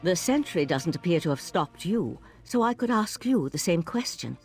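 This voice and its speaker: Casting: a sly, inquisitive female game investigator, measured and wry, lively intonation over music. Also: fairly low pitch. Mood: intrigued, guarded. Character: shrewd, secretive investigator, calm and curious. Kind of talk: video game character dialogue.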